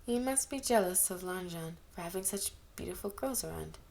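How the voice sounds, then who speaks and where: A woman's voice: lowish voice, lively intonation, fairly quick, vocal fry, a little mellow, slight background noise. A woman narrating a story as an amateur reader, expressively acting out the dialogue. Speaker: amateur audiobook reader of fan fiction. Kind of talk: scripted story narration with character voices.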